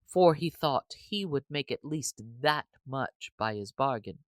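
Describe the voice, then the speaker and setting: A woman narrating a classic novel as an audiobook reader, in a measured, even storytelling manner. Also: a bass voice, no room sound.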